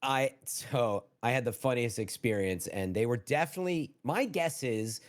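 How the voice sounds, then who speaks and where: A man's voice: medium pitch, normal speed. A man co-hosting a gaming podcast, telling anecdotes in informal, slangy, casual chat. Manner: informal, peppered with "like" and "dude". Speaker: podcast co-host.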